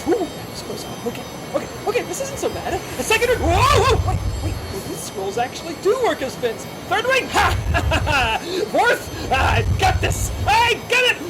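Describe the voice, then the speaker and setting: Woman as an excitable, high-voiced male secret agent. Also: full character voice, low-quality recording. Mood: panicked excitement. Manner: excitable, earnest. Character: eager, earnest, goofy male secret agent. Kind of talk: audio comedy drama acting.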